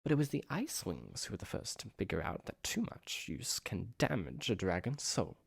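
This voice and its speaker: A man's voice: on the low side, big pitch swings, normal speed, creaky, husky, dry recording, studio quality, exaggerated voice. A man playing a proud, bitter young ice dragon in an audio drama, lecturing pompously. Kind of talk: fan audio drama acting.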